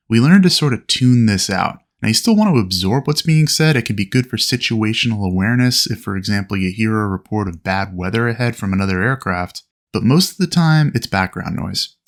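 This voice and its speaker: Low-pitched man giving flight instruction. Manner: clear, methodical explaining with aviation radio phraseology. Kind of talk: instructional narration of a simulated flight.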